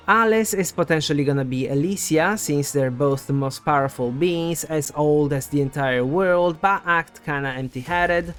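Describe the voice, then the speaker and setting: A man presenting scripted game theory commentary in a conversational, explanatory way, as the host of a video essay. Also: a little high. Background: background music.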